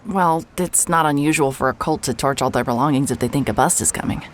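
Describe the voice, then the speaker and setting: A hard-boiled private investigator, played by a woman, with a very low voice. She talks in a dry, sarcastic way and fires off quick retorts. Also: slight background noise. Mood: wry. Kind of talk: audio drama acting.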